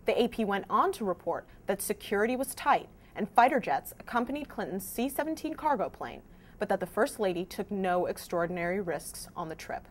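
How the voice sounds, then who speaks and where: A woman's voice: mid-range voice, lively intonation, a little fast. A woman hosting a scripted news explainer, presenting to viewers in an emphatic, wry way. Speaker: host of a fact-checking news vidcast.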